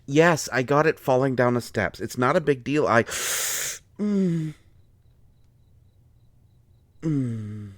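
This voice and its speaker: A man's voice: medium pitch, expressive, slow pace, long pauses, no echo. A man acting out a harried, protective royal court doctor in an audio roleplay, defensive and exasperated with a lover. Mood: evasive, explaining. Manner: pleading, exasperated, swinging from soft explaining to flustered outbursts. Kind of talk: dramatic audio roleplay, one side of a conversation.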